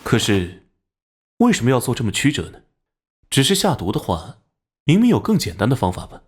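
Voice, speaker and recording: Forceful police captain, medium pitch, very varied intonation, direct questioning, crime cartoon, music behind. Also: male voice, huge pitch swings, brisk, slightly rough. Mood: puzzled, official. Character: no-nonsense male police captain, impatient and forceful. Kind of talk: animated crime drama acting.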